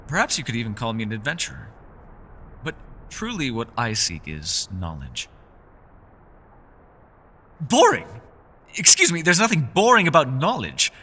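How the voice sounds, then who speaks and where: A man's voice: medium pitch, wildly swooping pitch, moderate pace, long pauses, pushed and bright, slightly rough, full character voice. A man voicing a mysterious, playful elf scholar in a fantasy ASMR roleplay, speaking in genteel, theatrical, formal phrases. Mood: indignant. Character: mysterious, courteous, playful male elf scholar living alone. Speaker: voice actor. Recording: rain, thunder and storm ambience behind. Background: rain and thunder sound effects.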